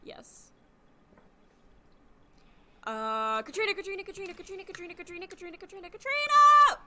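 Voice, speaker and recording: A woman's voice: extremely high pitch, wildly swooping pitch, brisk, very noisy. A young adult woman co-hosting a podcast in playful, giggly, sing-song casual chat over a remote call. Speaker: podcast co-host.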